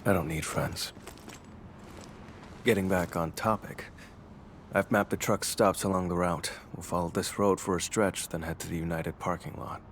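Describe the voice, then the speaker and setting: A male actor plays a brooding vampire investigator from a video game. His voice sits very low, and he speaks in clipped, deliberate phrases with grave restraint. Faint ambient noise runs behind him. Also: steady tone, lightly acted. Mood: aloof, businesslike. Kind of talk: scripted game dialogue.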